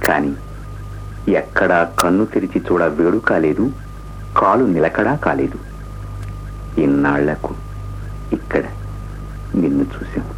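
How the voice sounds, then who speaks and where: A gallant, lovestruck stranger in a theatrical radio drama. His voice sits low and he speaks slowly and poetically, on a dull old recording. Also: slight background noise. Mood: tender, earnest. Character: smitten, courtly nameless male stranger, romantic and poetic. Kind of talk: theatrical radio play acting.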